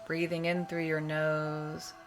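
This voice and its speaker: A woman leading a guided meditation, giving soothing, measured instructions with long pauses between phrases. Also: low-pitched, monotone, noisy background. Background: meditation music.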